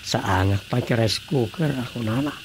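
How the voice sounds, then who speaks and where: A man's voice: mid-range voice, normal speed, background noise, lightly acted. An actor with an Ilocano accent playing a preoccupied man muttering to himself in a radio drama.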